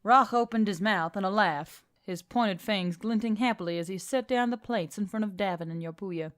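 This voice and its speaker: A woman's voice: medium pitch, moderate pace. A woman reading a scripted fantasy story as an audiobook narrator. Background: music.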